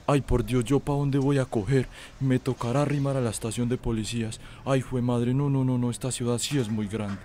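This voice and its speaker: A man's voice: medium pitch, fairly even intonation, normal speed, few pauses, mellow, a little hiss or hum, natural voice. A man with an Antioquia Colombian accent playing a naive, flustered young villager in a radio drama. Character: naive, flustered young country man seeking his father.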